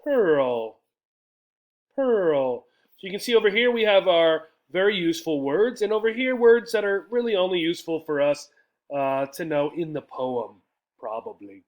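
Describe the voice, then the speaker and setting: An American man teaches English vocabulary in a fairly high-pitched voice. He explains in a didactic manner, says key words over again and often tags his points with a short check for understanding. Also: animated, moderate pace, lo-fi sound. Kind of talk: vocabulary lesson explained slowly to learners.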